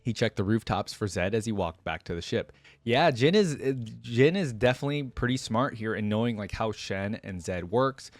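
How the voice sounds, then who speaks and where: A man's voice: lowish voice, expressive, moderate pace, dry recording. A man reading a story aloud and breaking in with casual, enthusiastic commentary as a reaction video creator. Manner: casual, enthusiastic asides with frequent "like".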